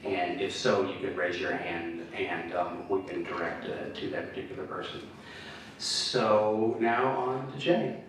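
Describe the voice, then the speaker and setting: A man moderating a campus candidate forum, halting with many fillers and restarts. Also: low-pitched, slight background noise. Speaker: panel moderator. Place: large echoey hall with audience. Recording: echoey hall, speaker far from mic.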